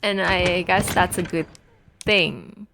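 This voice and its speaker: A woman in her twenties from Taiwan, chatting and telling stories while playing a video game, rambling with many pauses. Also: fairly low pitch, steady tone, vocal fry, background noise. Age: 20s. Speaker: let's play gamer.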